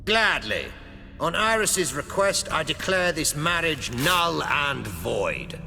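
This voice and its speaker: A man's voice: on the high side, sing-song, slow pace, vocal fry, over music, full character voice. An actor playing a stern, self-righteous older nobleman father, formal and pompous, in a game cutscene. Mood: stern, smug. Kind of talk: theatrical video game cutscene acting. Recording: long reverb, faint background noise.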